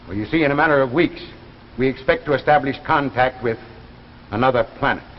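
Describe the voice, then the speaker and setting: An actor playing a firm, persuasive recruiter for a secret space project in a 1950s science fiction drama, measured, grave and insistent. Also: male voice, mid-range voice, slight background noise, lightly acted. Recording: echoing, dull vintage 1950s broadcast, faint music.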